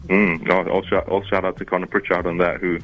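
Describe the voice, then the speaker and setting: A man with a deep voice and an accent that blends Arabic and New Zealand English talks casually as an interview guest. He rambles, restarting his sentences and filling gaps with "like" and "you know". The recording is dull and lo-fi, with faint music underneath. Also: level tone, fairly quick. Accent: mix of Arabic and New Zealand. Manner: rambling, many fillers and restarts.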